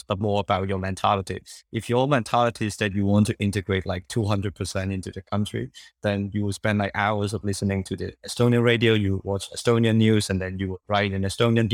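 A man's voice: fairly low pitch, dry recording, clean studio sound. A young man whose first language is Cantonese, talking in a casual interview, rambling with many fillers and restarts.

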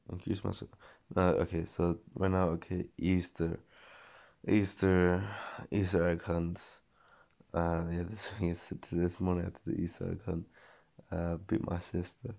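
Hoarse, gravelly young man rambling. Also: sits low, a little mellow. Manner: rambling, slangy, many fillers and restarts. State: losing his voice, hoarse. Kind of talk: casual rambling monologue. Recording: dull lo-fi audio.

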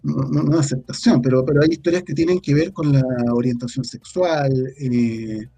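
Mellow Chilean man, halting delivery. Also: on the high side, slightly rough, faint music behind. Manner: halting, repeats words while searching for phrasing. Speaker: interview guest, audio drama writer. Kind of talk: casual interview conversation.

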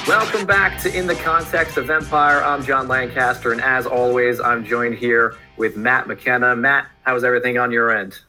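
A man's voice: mid-range voice, fairly even intonation, moderate pace, hardly any pauses, music in the background. A man co-hosting a history podcast, introducing the guest and asking questions with some fillers. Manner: scripted intro, then loose questions with fillers. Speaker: podcast co-host.

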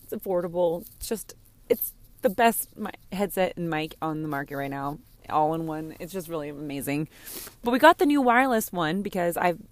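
A slightly hoarse woman chatting candidly and casually as a podcast host, rambling and gushing, easily distracted. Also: on the low side, lively intonation, moderate pace. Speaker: podcast host and game streamer. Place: inside a parked car.

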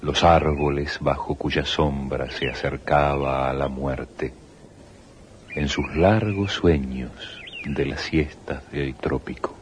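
A man giving solemn, literary narration for a radio drama in a grave, measured way. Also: very deep voice, fairly even intonation. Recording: noisy 1980s radio recording.

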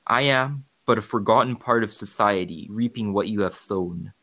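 Man playing a solemn, bitter outcast monster, low-pitched. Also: normal speed, bright, forceful tone. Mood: solemn, vengeful. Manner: formal, solemn, declamatory. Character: Frankenstein's creature, bitter, anguished, solemn, vengeful outcast monster. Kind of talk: dramatic monologue in archaic, literary language.

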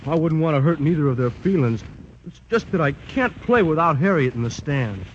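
An actor playing a slow-talking, earnest teenage basketball star in a 1940s radio comedy, on a lo-fi broadcast. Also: male voice, fairly high pitch, expressive, close to an everyday voice. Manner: slow, earnest, ungrammatical drawl. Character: lovestruck gangly teen basketball star, slow-witted. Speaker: student athlete. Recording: lo-fi old radio broadcast with faint hiss.

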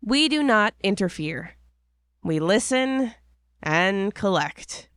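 A woman's voice: mid-range voice, expressive, slow pace, fairly bright tone, clear, resonant tone, no echo, clean studio sound. A woman playing a curious, impatient young apprentice, narrating in the first person from a script. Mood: eager, hushed wonder. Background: music.

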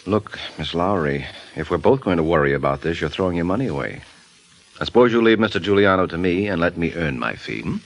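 Radio private detective: American, low and lively, unflappable, wry, suave. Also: male voice. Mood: smoothly assured. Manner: dry, sardonic, brisk. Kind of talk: 1940s radio detective drama acting.

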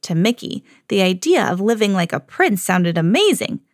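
A mother hosting her own podcast, reading a children's storybook aloud with lively jumps for the quoted lines. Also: mid-range voice, normal speed, no echo, clean studio sound. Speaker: mom reading to her kids, podcast host.

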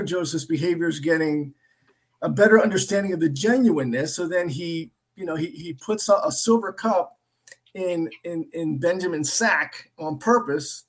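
A middle-aged American man with a fairly high voice gives an informal Bible teaching. He tells stories earnestly and conversationally, often repeating a phrase to drive it home. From Philadelphia area, United States. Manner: earnest, animated storytelling with repeated phrases for emphasis. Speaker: preacher.

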